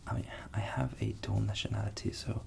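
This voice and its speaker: Low gravelly whispering man. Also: steady tone, fairly quick. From England. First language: Ukrainian. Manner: soft whisper, thinking aloud with restarts. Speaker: ASMR channel host. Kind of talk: whispered ASMR Q&A. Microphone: studio USB microphone.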